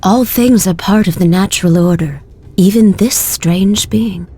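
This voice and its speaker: An actress playing a solemn, fading nature priestess in a video game, with a breathy, husky voice and grave, measured delivery. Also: female voice, mid-range voice, dark tone. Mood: solemn, calm. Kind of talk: dramatic video game acting.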